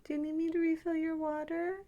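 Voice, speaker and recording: A woman rambling softly and in whispers as an ASMR host, with a cutesy, coaxing, playfully teasing manner. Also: very high voice, level tone, brisk, highs cut off. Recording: faint background noise.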